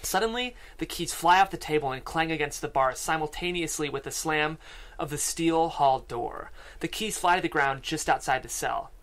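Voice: medium pitch, moderate pace, fairly bright tone. A narrator with a mid-range voice reads out a screenplay at a table read. The delivery is scripted narration, with scene headings and action lines, spoken into a studio microphone. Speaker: stage-direction reader at a table read. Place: podcast studio with several people.

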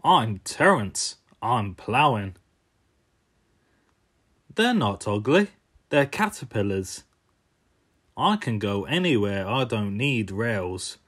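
A steady cartoon tractor, voiced by a man, who talks plainly. His delivery is slow and sure. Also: on the low side, breathy edge, full character voice. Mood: matter-of-fact. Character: plain-spoken, steady male caterpillar tractor.

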